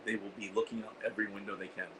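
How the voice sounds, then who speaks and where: A hoarse man hosting a casual livestream, rambling with lots of fillers and reading out the chat. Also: lowish voice, flat delivery, rapid-fire, noisy background. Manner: rambling, reads chat aloud, frequent fillers. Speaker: host of a veterans livestream. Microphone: iPad.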